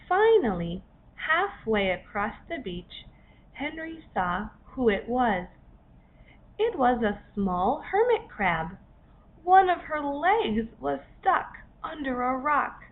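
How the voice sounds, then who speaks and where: A woman narrating a children's story expressively, in deliberate short phrases with dramatic pauses. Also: on the high side. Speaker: author reading own story. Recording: dull lo-fi recording, faint noise.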